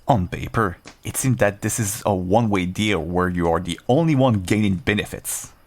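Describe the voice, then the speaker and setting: A man playing a seasoned werewolf adventurer, gruff but kindly and wry, talking straight to the listener in an audio roleplay. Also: lowish voice, normal speed. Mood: incredulous, teasing. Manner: reassuring, wry, bantering. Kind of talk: audio roleplay, one-sided dialogue addressed to listener.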